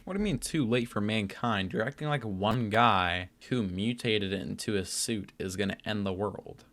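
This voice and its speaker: A man's voice: fairly low pitch, normal speed, a bit bright. A man hosting a reaction video, talking casually in informal, slangy speech. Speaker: YouTube reaction host.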